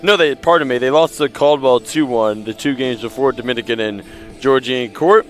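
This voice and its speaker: A man's voice: medium pitch, moderate pace, faint music behind. Man calling a college soccer match. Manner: steady, stat-heavy delivery, corrects himself on air. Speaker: play-by-play commentator. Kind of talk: live sports commentary.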